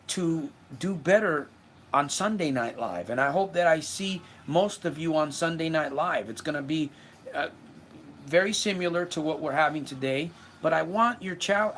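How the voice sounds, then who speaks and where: A man's voice: fairly high pitch, normal speed, fairly bright tone, slight background noise. Earnest man in his fifties, motivational livestream. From Puerto Rico. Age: 50s. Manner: earnest, repeats words and restarts while reading comments. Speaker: self-help speaker hosting a livestream. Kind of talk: motivational livestream talk, answering viewer comments.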